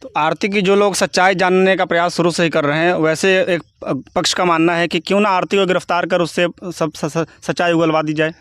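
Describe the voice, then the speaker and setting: A man's voice: high voice, steady tone, brisk, a bit bright, clean tone, no echo. A man putting questions as an interviewer in a news discussion.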